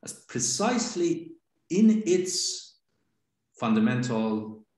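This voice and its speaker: A man with a Persian accent, a native Farsi speaker, giving a philosophy seminar lecture in a deliberate, halting way with frequent pauses. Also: medium pitch, very animated, a little mellow. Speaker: seminar instructor. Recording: very echoey room.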